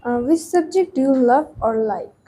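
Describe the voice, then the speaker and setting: Casting: woman examiner, fairly high mellow voice, formal questions, faint hiss. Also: lively intonation, moderate pace. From Bangladesh. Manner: formal, scripted questions with ums. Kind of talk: mock exam questioning.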